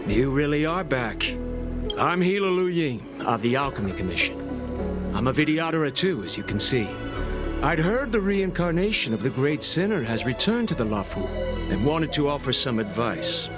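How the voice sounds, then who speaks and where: An actor playing a stern official in a video game, speaking in a firm, cold, commanding way. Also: male voice, fairly high pitch, very animated, moderate pace. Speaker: alchemy official. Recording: game music underneath. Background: game music.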